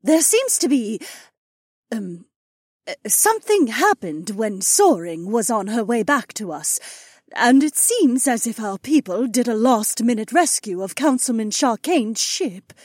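A woman's voice: fairly high pitch, very animated, measured pace, a little mellow, slightly rough, dry recording, clean studio sound, full character voice. A woman playing a nervous, deferential female servant in an audiobook, speaking hesitantly. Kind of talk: acted audiobook dialogue.

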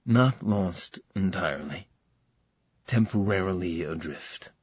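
A male narrator voicing a solemn, weary dragon-shifter man, speaking low, grave and measured, often trailing off quietly. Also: slightly rough, no echo, highs cut off, heavily acted.

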